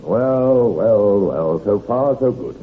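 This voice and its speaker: An actor playing a cheerful, persuasive English husband in a 1950s radio crime drama, with a hearty, coaxing, genteel manner. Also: male voice, fairly low pitch, expressive, slow pace. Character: cheerful, charming middle-aged husband, smoothly persuasive with women. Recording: scratchy, tinny old radio recording.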